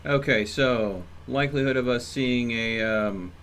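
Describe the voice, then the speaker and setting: A man's voice: medium pitch, measured pace, fairly bright tone. Rambling male game streamer, noisy background. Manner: rambling, thinking aloud while playing. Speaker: live streamer playing a video game. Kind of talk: casual livestream gameplay chat.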